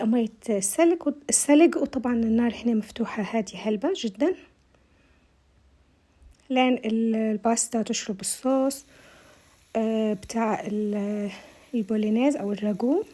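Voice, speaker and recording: A woman's voice: on the high side, mellow. A woman with a Libyan Arabic accent and a tired voice, chatting viewers through a recipe step by step in a casual voice-over. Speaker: cooking vlogger.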